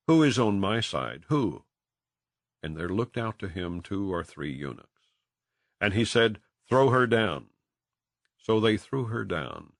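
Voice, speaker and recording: A man's voice: low-pitched, no echo, studio quality. A man reading scripture as an audiobook narrator, with a solemn, dramatic storytelling delivery. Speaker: audiobook reader. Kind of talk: scripted scripture narration, King James Bible.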